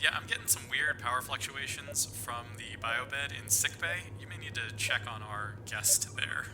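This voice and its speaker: A man's voice: medium pitch, normal speed, noisy background. A man playing a tabletop game, talking in an eager, bouncy way. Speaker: player. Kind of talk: casual tabletop play.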